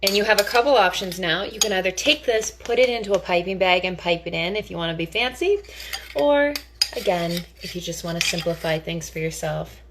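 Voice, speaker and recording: A woman's voice: on the low side, brisk, background noise. A woman giving a casual cooking demonstration in a chatty, theatrical, playfully enthusiastic way.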